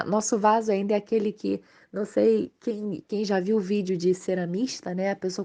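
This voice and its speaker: A Brazilian woman with a slightly hoarse voice from a mild cold, giving an informal, explanatory religious study talk to viewers. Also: medium pitch, dark tone. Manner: explanatory, conversational, checking in with tag questions. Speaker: host of a spiritist study channel.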